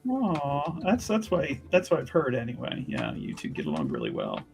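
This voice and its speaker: A woman's voice: very deep voice, huge pitch swings, normal speed, vocal fry, a little mellow, faint music behind, exaggerated voice. A woman playing a prim, weary and snide religious sister in a tabletop game, with dry, pointed sarcasm. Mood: pointed, skeptical.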